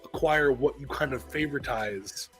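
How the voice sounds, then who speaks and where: A man co-hosting a casual live stream chat in a musing, halting way. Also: medium pitch, fairly bright tone, faint music behind, rough recording. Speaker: podcast co-host.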